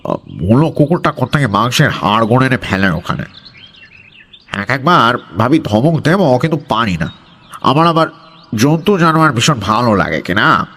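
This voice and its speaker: A man's voice: medium pitch, very animated, moderate pace, fairly bright tone. A man playing a creepy, sly schoolmaster in an audio horror story, falsely friendly and full of coaxing, probing questions.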